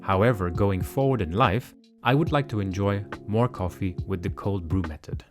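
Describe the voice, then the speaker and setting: Low-voiced man narrating a cooking tutorial. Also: moderate pace, faint music behind. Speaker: cooking channel host. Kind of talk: calm instructional cooking voice-over. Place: home kitchen.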